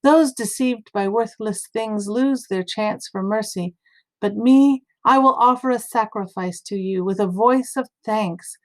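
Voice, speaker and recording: A woman's voice: medium pitch, measured pace, darkish tone, lightly acted. A woman voicing an earnest, distressed male prophet, fervent and solemn, in a scripture story reading.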